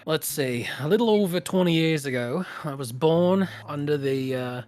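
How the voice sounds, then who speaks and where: A man's voice: on the high side, moderate pace, darkish tone, dry recording, pitched above the performer's own voice, lightly acted. A man voicing a friendly young man in his twenties who is raising his little brother, talking in an easygoing, rambling way full of fillers. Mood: reminiscing. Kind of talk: in-character tabletop roleplay.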